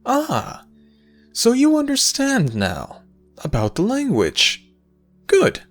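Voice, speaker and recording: A man playing an urbane, theatrical storytelling god in an audio roleplay, speaking grandly and deliberately with dramatic pauses. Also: lowish voice.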